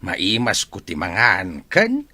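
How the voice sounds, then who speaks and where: A man's voice: low-pitched, wildly swooping pitch, takes it slowly, pushed and bright, dry recording, exaggerated voice. A man playing a jolly old grandfather fond of a drink, acting in a jovial, chuckling way in an audio drama. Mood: jovial, eager.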